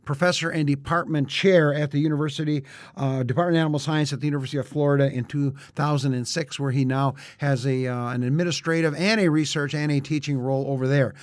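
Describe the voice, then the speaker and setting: A man's voice: on the high side, steady tone, quick pace, no room sound. A man hosting a webinar, introducing the guest speaker in a hesitant way with frequent "uh" fillers. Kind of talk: formal introduction.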